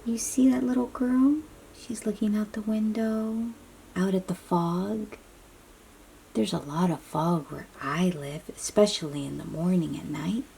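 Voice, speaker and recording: A woman with a soft, breathy voice reads a picture book aloud to young children, gently explaining and chatting to them as she goes. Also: medium pitch, a little hiss or hum, rough recording. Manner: slow, child-directed, encouraging questions and affirmations. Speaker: read-aloud storybook host.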